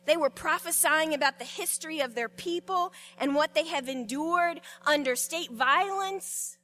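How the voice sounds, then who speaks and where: High, bright-voiced woman preaching slowly. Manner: expressive storytelling with repeated words and dramatic pauses. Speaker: pastor. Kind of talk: sermon.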